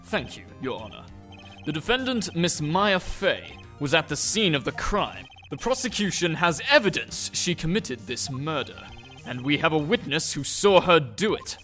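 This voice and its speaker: A man's voice: fairly high pitch, big pitch swings, normal speed, sharp tone, faint music behind. A man voicing a formal, self-assured, pompous prosecutor in a courtroom game fandub. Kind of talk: game fandub character acting.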